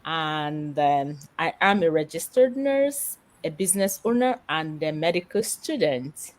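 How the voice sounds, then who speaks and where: Slow-talking woman co-host. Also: low-pitched, very animated. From Nigeria.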